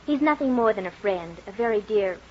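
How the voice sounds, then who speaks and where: A woman playing a gracious, affectionate young bride who gently chides, in vintage radio drama style. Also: mid-range voice, expressive, brisk, close to an everyday voice. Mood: fond, reassuring. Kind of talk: 1950s radio acting. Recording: noisy, muffled old radio recording.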